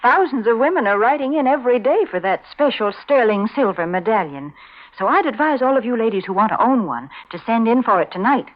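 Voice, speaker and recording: A woman's voice: fairly high pitch, big pitch swings, brisk. A woman announcer delivering sponsor patter in the style of 1940s radio advertising. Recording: slightly muffled 1940s radio broadcast.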